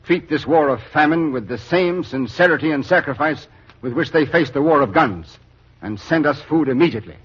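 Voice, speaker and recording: Firm, sympathetic official: fairly high husky voice, earnest oratory, old radio. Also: male voice, lively intonation, brisk, a bit bright. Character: weary, sympathetic but firm male relief official. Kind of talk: 1940s radio drama acting. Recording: muffled vintage radio recording.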